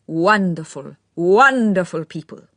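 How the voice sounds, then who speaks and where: A woman's voice: lowish voice, expressive, takes it slowly, no room sound. A woman reading the part of an affected, theatrical young man who gushes and stresses every syllable through a curious accent of his own. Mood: gushing. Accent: odd foreign-tinged accent of his own. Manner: gushing, every syllable overemphasized, speaking through a smile. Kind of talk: audiobook character reading.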